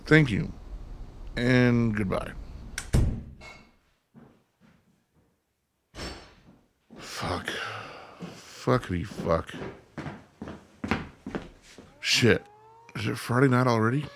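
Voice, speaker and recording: A man's voice: medium pitch, animated, very slow, vocal fry, pauses often, very noisy, exaggerated voice. An actor playing a cynical, irritable, hungover city man in a radio play, sarcastic and combative. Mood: embarrassed, muttering.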